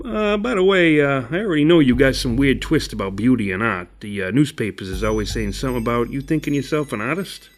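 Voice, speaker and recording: A man acts out a crude, streetwise killer in a horror audio drama, with a mid-pitched voice over music. He talks bluntly and slangily, exasperated and stumbling into his words. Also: fast talker, few pauses, natural voice. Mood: exasperated, grudging. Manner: slangy, sweary, hesitant, colloquial grammar.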